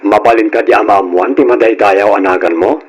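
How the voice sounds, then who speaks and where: Actor as husky, forward male stranger. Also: lowish voice, brisk, lightly acted, rough recording. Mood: forward, insistent. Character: forward, long-haired bearded male stranger approaching a woman. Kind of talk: old Philippine radio drama acting. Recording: old radio drama recording.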